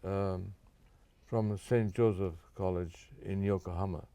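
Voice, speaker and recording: An older man born in Japan, answering interview questions in a measured, careful way with frequent fillers and restarts. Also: deep voice, steady tone, mellow, no echo. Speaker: guest.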